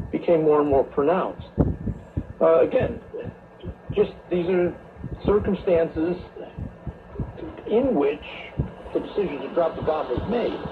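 A man hosting a radio talk show, speaking in a deliberate, reflective monologue with hesitant phrasing. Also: a little high. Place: radio studio, heard through a shortwave receiver. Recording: noisy shortwave radio broadcast, tinny narrow band.

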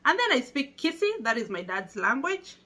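A woman's voice: high voice, sing-song, moderate pace, sharp tone. A young Kenyan woman with a Kenyan accent, talking casually to camera in a small indoor room and patiently explaining things. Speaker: vlogger.

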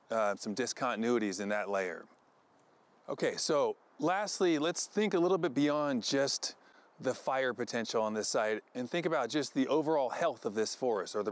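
A middle-pitched American man speaks as a researcher outdoors. He explains things to camera in the style of an educational film. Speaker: forest researcher. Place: outdoors in a forest.

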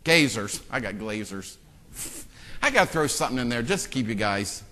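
A man preaching a sermon as pastor, swinging from hushed, joking asides to loud, impassioned exclamations. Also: medium pitch, very animated, normal speed. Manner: self-deprecating joking with the congregation. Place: church sanctuary. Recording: long church-hall reverb.

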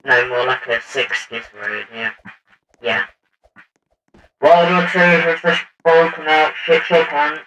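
Bright-voiced man hosting a gaming video. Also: on the high side, steady tone, slow pace, pauses often, poor audio. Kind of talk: casual gameplay commentary. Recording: quiet mic, music behind.

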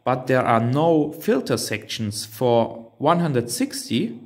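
Man, German native speaker, slow pace, mid pitch, thinking aloud, hands-on product demo. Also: very animated. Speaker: reviewer demonstrating a radio transceiver. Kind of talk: unscripted hands-on product walkthrough.

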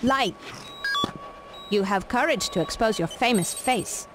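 A woman's voice: on the high side, lively intonation, a little slow, noisy background. A woman playing a cool, composed underground surgeon in a video game, with a clipped, matter-of-fact delivery. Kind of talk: video game cutscene acting. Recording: music behind the dialogue.

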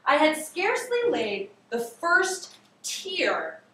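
A high-voiced woman reads a story aloud with big swings in pitch. Her delivery is theatrical and emphatic, with drawn-out words. She is recorded from across an echoey classroom. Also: big pitch swings, sharp tone, distant mic. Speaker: teacher reading aloud to a class. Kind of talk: dramatic story reading. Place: classroom, indoors. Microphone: far camera across the room.

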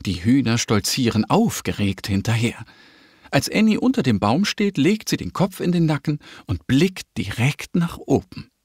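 Guy reading a kids story, slow and really lively melody, close dry sound. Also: medium pitch, no echo. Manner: expressive, careful storytelling. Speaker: narrator. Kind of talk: scripted children's story narration.

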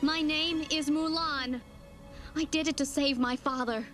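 A woman's voice: high voice, fairly even intonation, a little slow, music in the background, voice pushed up. A woman voicing an earnest young heroine.

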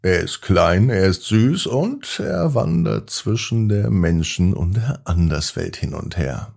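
Low-voiced man, lively bedtime storyteller. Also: gravelly, slightly rough. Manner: gentle, drawn-out emphasis, addressing young listeners. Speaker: children's podcast host and storyteller. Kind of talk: expressive bedtime story narration for children.

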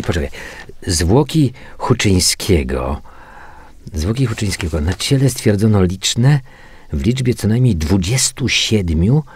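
An actor playing a matter-of-fact, weary male police investigator in a radio drama, muttering and repeating himself haltingly. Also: lowish voice, darkish tone, breathy edge, no echo. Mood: absorbed, grim. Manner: halting, muttering repetitions while reading out reports. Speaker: actor in an audio crime drama. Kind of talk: naturalistic radio drama dialogue.

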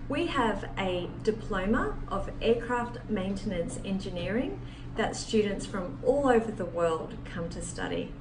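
A woman's voice: mid-range voice, animated, measured pace, far from the mic. A woman, a business development manager, gives a welcoming promotional talk to camera in an indoor office. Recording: roomy sound from off-mic, clear background noise.

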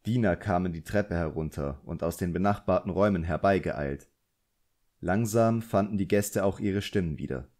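A man's voice: deep voice, mellow. A man reading a novel aloud as scripted audiobook narration.

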